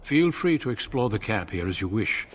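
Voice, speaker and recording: An actor playing a grave, seasoned middle-aged warrior commander in a fantasy game, measured, formal and courteous. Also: male voice, on the low side, very animated, a little hiss or hum. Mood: solemn, measured. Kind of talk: fantasy video game acting.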